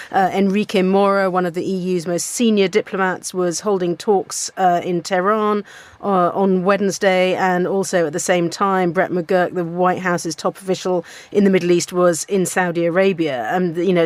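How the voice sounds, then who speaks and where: Calm woman journalist in a dry studio. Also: lowish voice, no echo. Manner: calm, even reading and commenting. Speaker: political journalist, studio guest. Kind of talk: newspaper review on radio. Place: radio studio.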